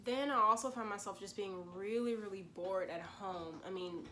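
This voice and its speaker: A woman's voice: mid-range voice, moderate pace, pushed and bright. A young woman from Louisiana, chatting through a vlog while congested from a cold, with lots of "you know" asides. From Louisiana, USA. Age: 20s. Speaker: vlogger and pre-med gap-year student. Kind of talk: casual explanatory vlog talk.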